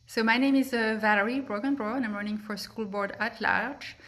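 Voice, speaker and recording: Woman, middle-aged, French accent, friendly, steady, video call. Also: fairly high pitch, brisk. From France. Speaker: school board candidate. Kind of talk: forum candidate statement.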